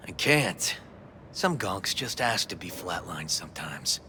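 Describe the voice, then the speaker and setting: A man playing a dogged, principled police detective in a video game, terse and gruff, with an American accent. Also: deep voice, sing-song, a little slow, bright, forceful tone, slight background noise, exaggerated voice. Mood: guarded. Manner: terse, clipped, gruff street talk.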